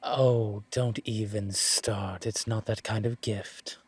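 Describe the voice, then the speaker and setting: A man playing a low-voiced, suave demon professor. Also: fairly even intonation, breathy edge. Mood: teasing, charmed. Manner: wry, measured, teasing, formal wording. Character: suave male incubus demon professor, teasing, protective. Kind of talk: roleplay audio drama.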